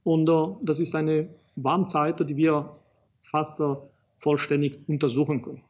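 Middle-aged man, Italian accent, slightly raised pitch and husky tone, halting lecture full of fillers, desk mic. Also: level tone, a little mellow. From Italy. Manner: halting, many fillers and restarts. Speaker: archaeologist lecturer. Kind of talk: recorded public lecture. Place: small studio in front of a banner.

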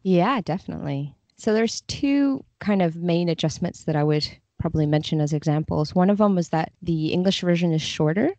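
Mellow woman, low-pitched, slightly dull recording. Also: expressive, moderate pace, hardly any pauses, no room sound. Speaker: podcast guest, literary translator. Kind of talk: casual interview conversation.

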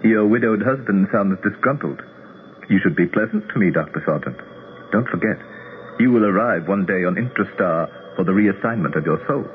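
A man's voice: on the low side, expressive, normal speed, a little hiss or hum, close to an everyday voice. Guy as haughty immortal prince, menacing, formal, tinny old radio play. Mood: imperious. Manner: formal, imperious. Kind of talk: 1970s radio drama acting. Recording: thin old radio broadcast, music underneath.

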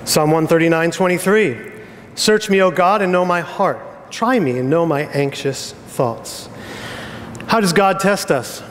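Casting: American male pastor, middle-aged, slightly high voice, informal joking delivery, reverberant auditorium. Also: measured pace, a little hiss or hum. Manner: informal, joking, checks in with "right?". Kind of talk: conversational sermon. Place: on stage in a church auditorium. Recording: reverberant hall sound.

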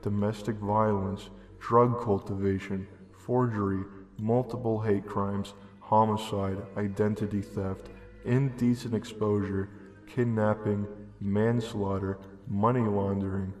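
Young guy narrating a creepy story totally deadpan, flat voice, big echoey reverb, music behind. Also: fairly low pitch, measured pace. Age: young adult. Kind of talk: deadpan reading of a comedic horror story.

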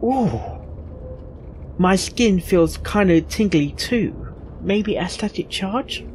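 A man's voice: sits high, takes it slowly. A man playing a skeptical, lanky train crewman in an audio story, casual and wry. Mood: puzzled. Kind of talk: amateur audio drama acting. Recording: faint background noise.

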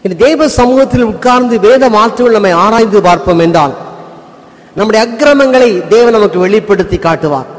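A middle-aged male pastor with a Tamil Nadu accent, preaching earnestly at a lectern microphone, stressing key words and dropping low to close each point. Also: lively intonation, brisk. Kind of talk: sermon. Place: church sanctuary with congregation. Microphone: stand mic at lectern. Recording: long church reverb.

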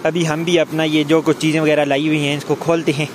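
A man's voice: fairly high pitch, flat delivery, fast talker. A young man from Pakistan chatting casually to viewers in a travel vlog, filmed with a camera held at arm's length outdoors and in a car. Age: young adult. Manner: chatty, addresses viewers directly. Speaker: vlogger. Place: outdoors, in a car and on a street and riverbank. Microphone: phone or camera at arm's length. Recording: noisy outdoor phone recording.

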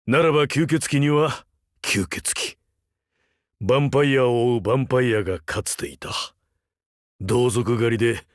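A male actor playing a rugged older vampire hunter turned teacher in a game, wry, laconic and gruffly fatherly. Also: medium pitch, dry recording, clean studio sound. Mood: grave. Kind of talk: Japanese mobile game character voice lines.

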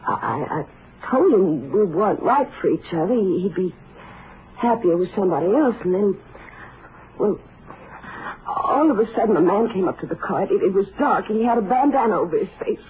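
An actress playing a nervous young rancher's daughter, hesitant and stammering, in a radio drama. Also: female voice, medium pitch, expressive, moderate pace, a little hiss or hum, close to an everyday voice. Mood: frightened, tearful. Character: frightened young rancher's daughter giving testimony. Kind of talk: 1950s radio drama acting. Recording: narrow-band old radio recording.

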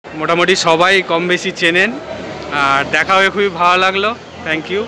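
A man's voice: sits high, steady tone, moderate pace, background noise. A man, co-hosting a casual vlog with friendly, brief remarks. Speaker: vlogger co-host. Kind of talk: casual chat.

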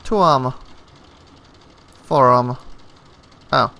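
A man's voice: mid-range voice, slow, vocal fry, bright, forceful tone. A man giving casual, offhand let's play commentary, muttering and stumbling a little. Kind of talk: casual gameplay commentary, reading dialogue aloud. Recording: noisy home game recording.

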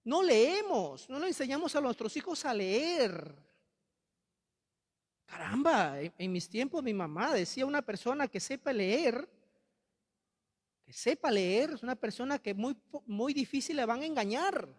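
Impassioned priest preaching in a reverberant church. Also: male voice, very high voice, sing-song, unhurried. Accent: Peruvian Spanish. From Peru. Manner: impassioned, rhetorical repetition, reproachful. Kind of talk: sermon. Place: large bright church. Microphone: handheld mic.

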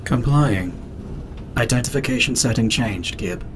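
An obedient artificial intelligence running a space base , acted by a man. He answers in clipped, formal phrases with no emotion. Clear ambient noise runs behind the voice in this sci-fi audio drama. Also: a little slow, close to an everyday voice. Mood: obedient, neutral. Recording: clear rumbling background noise.

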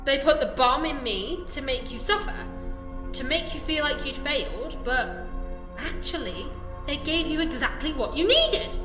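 A woman's voice: high voice, lively intonation, a little slow. A woman playing a plucky, blunt young companion to a hero in an audio drama. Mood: blunt, supportive. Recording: echoey room, faint music.

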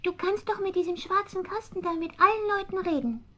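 A cheeky, insistent little tomcat in a children's radio play. The voice is piercingly high and bright, full of nosy curiosity. It comes from a dull old record transfer. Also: female voice, fairly quick. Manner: inquisitive, pert, persistent.

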